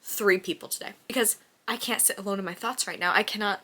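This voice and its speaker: A woman's voice: medium pitch, quick pace, bright, forceful tone. A woman book vlogger chatting casually and effusively in an informal, emphatic way, with "like" sprinkled through her sentences. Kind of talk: casual chat, emotional book reaction vlog.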